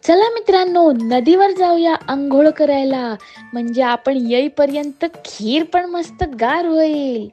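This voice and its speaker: A woman's voice: high voice, a little slow, warm tone, soft background music, pitched above the performer's own voice. A woman voicing a bossy big-brother monkey who leads his friends in a children's story. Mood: cheerful. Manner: bossy, commanding.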